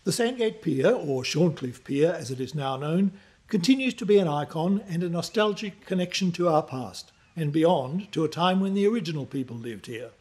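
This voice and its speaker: A man's voice: on the high side, expressive, a little mellow. Man narrating a documentary. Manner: measured, informative. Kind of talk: scripted narration.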